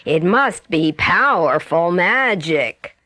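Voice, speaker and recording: A woman voicing a scheming, greedy male leopard in a children's story, with slow, drawn-out, emphatic words. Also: lowish voice, expressive, bright, forceful tone, no room sound, heavily acted. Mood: greedy, commanding. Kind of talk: storybook character voice.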